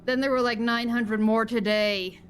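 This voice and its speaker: A woman's voice: a little high, steady tone, normal speed, fairly bright tone, a little hiss or hum, close to an everyday voice. A woman playing a young food worker in casual improvised game role-play, speaking in a plain, matter-of-fact way. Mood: weary venting.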